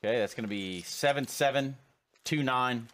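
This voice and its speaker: A man's voice: mid-range voice, normal speed, fairly bright tone, slight background noise. Male play-by-play esports caster. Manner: dry, teasing humor between calls. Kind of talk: play-by-play commentary.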